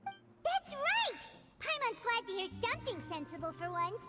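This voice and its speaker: Squeaky, very high-pitched little fairy. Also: female voice, sing-song, measured pace, heavily acted. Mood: feisty. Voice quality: squeaky, childlike. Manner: refers to herself in third person. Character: tiny childlike female fairy companion, excitable and cheeky. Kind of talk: anime game voice acting. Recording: music behind the voice.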